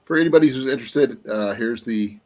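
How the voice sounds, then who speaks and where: A man hosting a casual live-stream chat, his voice going a little raspy, rambling along with fillers as he looks things up. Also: mid-range voice, fairly quick, a little mellow. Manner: rambling, trails off with fillers while looking things up. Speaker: livestream host.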